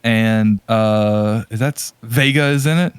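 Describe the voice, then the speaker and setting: A man's voice: on the low side, takes it slowly, clean tone, no room sound. A man co-hosting a podcast in casual chat, rambling and often hesitating and correcting himself as he goes. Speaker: podcast co-host.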